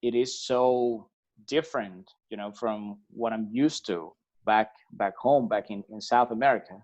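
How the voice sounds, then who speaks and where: A man from Venezuela, a musician guest on a podcast, talking in a relaxed, hesitant way with many fillers and restarts. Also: fairly low pitch, unhurried. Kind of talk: casual conversational interview. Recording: slightly dull lo-fi sound.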